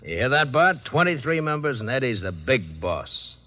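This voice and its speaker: Smug mob boss, medium pitch, falsely genial, condescending, drawn-out emphasis, radio crime drama. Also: male voice, big pitch swings, pushed and bright. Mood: mocking approval.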